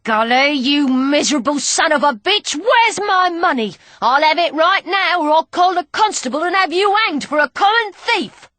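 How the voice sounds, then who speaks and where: A woman voicing a fierce, scrappy young woman who snaps back in sharp, heated bursts. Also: fairly high pitch, expressive, drawn-out words, hardly any pauses, no echo, exaggerated voice. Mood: furious.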